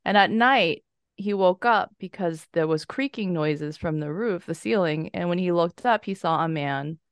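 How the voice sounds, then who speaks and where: A woman with a low voice talks in a casual podcast roundtable. She hunts for words with restarts, then settles into a steady telling of a story. Her sound is dry and close, with no room echo. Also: normal speed, smooth. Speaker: podcast guest, horror writer.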